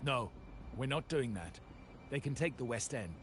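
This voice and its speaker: Guy voicing stern mob lieutenant in a game, mid pitch, clipped n grave, clear noise behind. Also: animated, normal speed, lightly acted. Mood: grim. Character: stern, resentful mafia lieutenant in a crime family.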